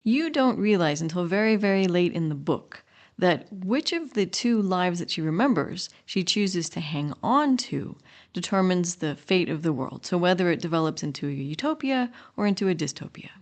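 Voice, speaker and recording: A woman co-hosting a podcast, talking casually about books in an animated, emphatic way. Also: on the low side, moderate pace. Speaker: podcast co-host. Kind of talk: casual book discussion.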